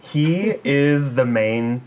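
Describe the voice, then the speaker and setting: A man's voice: medium pitch, animated, extremely slow, a little mellow, clear, resonant tone. Casual man telling myths as podcast co-host. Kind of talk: casual chat retelling myths.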